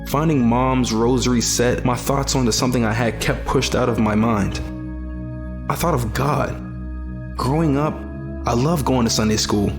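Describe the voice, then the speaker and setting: A man's voice: mid-range voice, lightly acted. A man playing a weary, disillusioned young survivor in an audio drama, reflective and plain-spoken. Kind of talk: first-person audio drama narration and dialogue. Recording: loud music behind the voice. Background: music.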